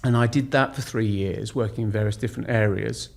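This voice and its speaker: A man in his fifties from Lancashire, a podcast guest, telling his story in a measured, reflective way. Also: on the low side, steady tone, creaky. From Lancashire, England. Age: 50s. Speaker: podcast guest, recruitment director. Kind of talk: casual conversational interview.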